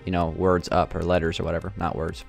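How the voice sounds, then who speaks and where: Young male gaming host, low voice, a touch raspy, hesitant, unhurried chatter. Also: little pitch movement, soft background music. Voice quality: slightly raspy from yelling. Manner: thinking aloud, hesitant, many fillers. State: sore throat. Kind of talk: casual gameplay commentary.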